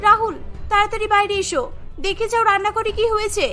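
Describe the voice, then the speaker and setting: A woman's voice: extremely high pitch, little pitch movement, brisk, fairly bright tone, soft background music. A woman voicing a kind, earnest young village wife in a cartoon, speaking expressively. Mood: excited. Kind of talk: cartoon story dialogue.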